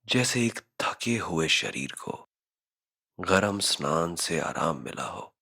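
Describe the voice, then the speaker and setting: A man narrating a scripted guided meditation, giving measured instructions with frequent pauses. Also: low-pitched, sing-song, gravelly, sharp tone, husky.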